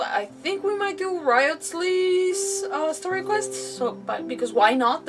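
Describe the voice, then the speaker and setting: A woman game streamer chatting casually to her viewers in an animated, rambling, self-deprecating way. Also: high voice. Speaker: game livestreamer. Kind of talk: casual livestream chat to viewers. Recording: distant mic, music playing behind. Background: background music.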